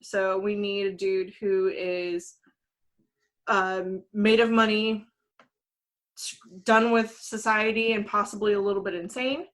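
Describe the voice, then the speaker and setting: A woman with a medium-pitched voice hosts a podcast. She presents her choices in loose, conversational speech, as if talking among friends. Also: steady tone, slow pace, pauses often. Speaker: podcast host. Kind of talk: casual podcast chat, presenting film casting picks.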